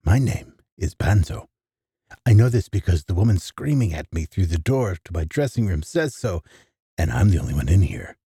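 Gravelly male horror narrator, very deep and dark-toned; theatrical, close and dry. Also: sing-song, normal speed, no room sound. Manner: theatrical, with sudden gasps and hushed asides. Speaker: storyteller. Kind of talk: dramatic first-person horror narration.

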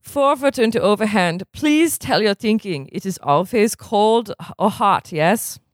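A pompous old tennis coach, as a man puts him on, lectures in halting, broken English with a put-on foreign accent. The voice sits higher than the reader's own, and the delivery is slow and rhetorical, with questions tagged onto the ends of lines. The sound is dry and close, with no room around it. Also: lively intonation, no echo. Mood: lecturing. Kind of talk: audiobook character reading.

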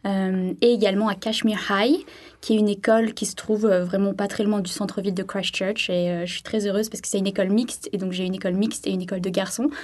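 A young woman from the south of France talks as a guest in a radio interview. Her voice sits at an average pitch, and she explains herself in a chatty, conversational way. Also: level tone, fast talker, darkish tone. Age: young adult. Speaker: interview guest, French language assistant.

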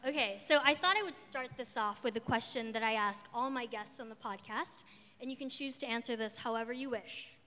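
A woman's voice: fairly high pitch, a little fast, sharp tone. A woman moderating a live panel and podcast, upbeat with laughing asides. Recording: reverberant hall.

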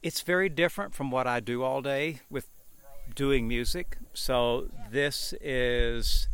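A man who teaches music, reflecting in a measured way while being interviewed outdoors. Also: medium pitch, a bit bright, clean tone. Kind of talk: casual interview answers.

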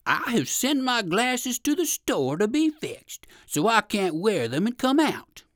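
Woman voicing a slow, sly storybook bear. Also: fairly high pitch, sing-song, no echo, clean studio sound, pitched above the performer's own voice. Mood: coaxing. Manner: slow, coaxing, menacing salesman.

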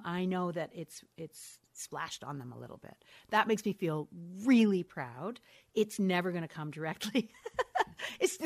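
A woman's voice: medium pitch, a bit bright. A middle-aged woman, a mother of three, talking candidly and thoughtfully as an interview guest, often restarting her sentences. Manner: candid, thoughtful, frequent self-corrections and laughs. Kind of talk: reflective conversational interview.

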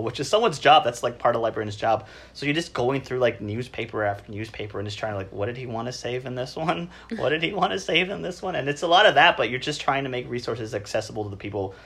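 A man chatting casually as a podcast guest, with an animated, self-deprecating, rambling manner. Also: mid-range voice, vocal fry. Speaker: podcast guest, library worker. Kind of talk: casual conversational interview.

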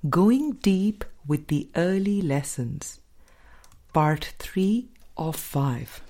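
A woman reading a spiritual audiobook as a scripted narration, with measured, deliberate phrasing and pauses. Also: fairly low pitch, lively intonation, warm tone. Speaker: audiobook reader.

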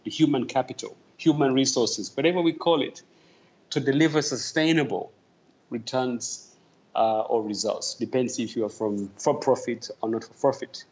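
A man from Tanzania, a guest calling in remotely to a podcast, answering thoughtfully with many "uh" pauses. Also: medium pitch, a little mellow, lo-fi sound. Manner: thoughtful, many "uh" fillers, earnest. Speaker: podcast guest, talent development executive. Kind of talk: conversational interview answer.

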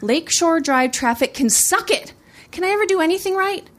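A woman's voice: on the high side, big pitch swings, normal speed. A woman voicing a brash, cocky young female stand-up comic with a sassy, exclamatory delivery in a story reading. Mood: frantic, exasperated. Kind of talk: first-person comic fiction reading.

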